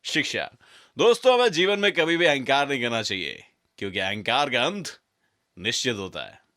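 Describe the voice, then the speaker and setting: A man's voice: medium pitch, sing-song, moderate pace, bright, forceful tone. A man narrating a scripted children's fable as a storyteller.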